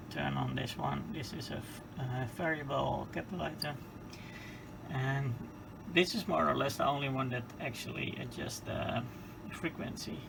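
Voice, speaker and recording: A man giving a casual hands-on explanation in a halting, hesitant, matter-of-fact way. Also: medium pitch. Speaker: hobbyist presenter of radio repair. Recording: clear background noise.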